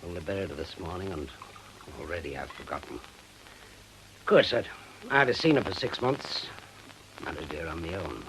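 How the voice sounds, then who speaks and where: An actor playing a bitter, fearful older widower in a British radio drama, sardonic and emphatic, halting when troubled. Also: male voice, low voice, lively intonation, background noise. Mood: brooding, bitter.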